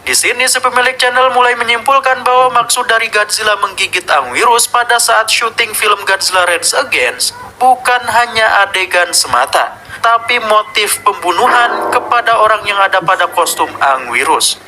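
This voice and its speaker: A man's voice: high-pitched, normal speed, pushed and bright, slight background noise, low-quality recording. A man with an Indonesian accent hosting a scripted horror explainer, addressing viewers informally as he narrates. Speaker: video narrator and host.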